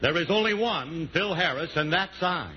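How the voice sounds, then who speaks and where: A man's voice: a little high, lively intonation, moderate pace, highs cut off, lightly acted. A man playing a cocky, wisecracking husband and father with a Southern American accent in a 1940s radio comedy. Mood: boastful. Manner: brash, boastful, folksy slang. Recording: noisy, band-limited 1940s radio recording.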